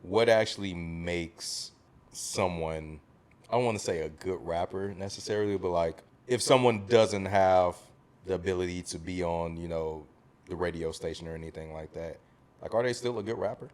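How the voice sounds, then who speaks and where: A man's voice: low-pitched, normal speed, a little mellow. An American man from the South hosting a casual music discussion, talking emphatically and repeating phrases for stress. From southern United States. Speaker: podcast host.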